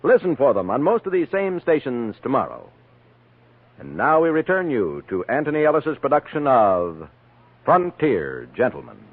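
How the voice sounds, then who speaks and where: A man's voice: mid-range voice, very animated, moderate pace, phone-quality sound. A man with an American accent reading continuity and promos as a 1950s radio network announcer. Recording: roomy, band-limited vintage radio broadcast.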